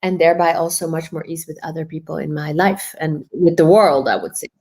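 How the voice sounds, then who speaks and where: A woman's voice: low voice, a little fast, darkish tone. A woman raised in the Netherlands, speaking as an interview guest on a video call, earnest and reflective, laughing easily as she tells her story.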